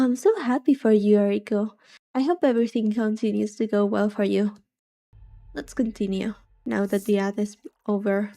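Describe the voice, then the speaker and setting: A soft-spoken woman streaming a game, chatting casually and rambling to her viewers. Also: a little high. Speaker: VTuber streamer. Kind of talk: casual chat while gaming.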